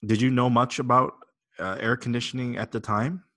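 A man's voice: mid-range voice, steady tone, normal speed, fairly bright tone. A man hosting a business podcast, reading a scripted intro and then asking questions with some hesitation and fillers. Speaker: podcast host.

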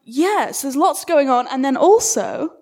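A woman chatting casually as a guest at a live interview, jokey, informal and halting as she explains her work. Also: high voice, expressive, a little mellow. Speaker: guest, audio fiction creator. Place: live event room with audience.

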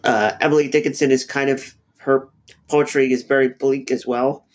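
A man with a head cold, his voice slightly nasal. He talks through ideas slowly as a podcast co-host, stopping and restarting with many fillers as he thinks aloud. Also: mid-range voice, flat delivery. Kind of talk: casual podcast discussion.

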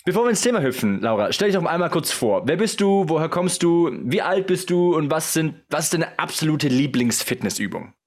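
A man's voice: on the high side, big pitch swings, brisk, few pauses. A man hosting a podcast, reading an upbeat intro and asking questions in a friendly, casual manner, laughing along with his guest. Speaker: podcast host.

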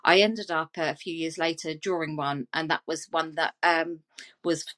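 A woman's voice: low-pitched, steady tone, normal speed, a bit bright. A woman artist speaking as a guest on an online panel over a video call, gushing with enthusiasm.

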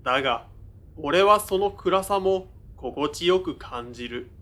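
A man acting as a young male narrator in an audio drama, his voice very bright and forward, pitched a little high. He tells his own story in measured, understated literary prose, with a deferential streak toward his elders. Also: animated. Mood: matter-of-fact, observant.

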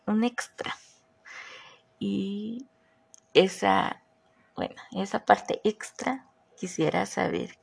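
A woman's voice: medium pitch, gravelly. A woman from Mexico with a mixed Mexico City, Morelos and northern Mexican accent, hosting a chatty podcast and putting questions to her listeners. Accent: Mexican Spanish, mixed Mexico City, Morelos and northern. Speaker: podcast host. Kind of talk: casual podcast monologue.

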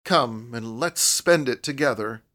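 A man voicing a gruff, reckless young man in an audiobook, blunt and insistent. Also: medium pitch, a little slow, a bit bright, lightly acted. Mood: eager. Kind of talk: audiobook character voice.

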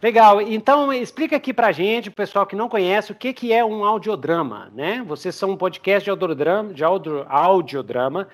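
A man's voice: sits high, lively intonation, moderate pace, hardly any pauses. A Brazilian man hosting a podcast in casual, enthusiastic interview chat. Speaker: podcast host.

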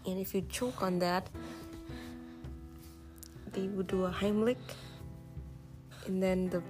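A woman's voice: mid-range voice, fairly even intonation, slow pace, a little mellow, soft background music. A woman casually explaining things to a young child, as a parent does.

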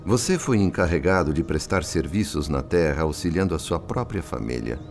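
An actor with a Brazilian accent playing a compassionate, respectful male spirit and worried father, in gentle, formal radio-drama style. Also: rumbling low, animated, moderate pace, creaky, faint music behind, exaggerated voice. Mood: compassionate, probing. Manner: gentle, formal, earnest, considerate.

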